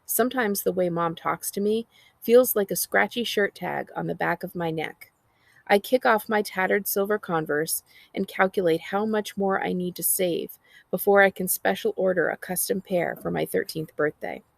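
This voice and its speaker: A woman's voice: on the low side, normal speed, creaky, dry recording. An American woman voicing a sardonic, exasperated twelve-year-old girl narrating her life in a storybook read-aloud. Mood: annoyed. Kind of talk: expressive audiobook-style reading.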